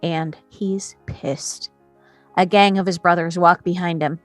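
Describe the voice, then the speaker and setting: A woman's voice: lowish voice, fairly even intonation, measured pace, no echo, soft background music. A woman reading scripted stage directions as the narrator of a screenplay table read.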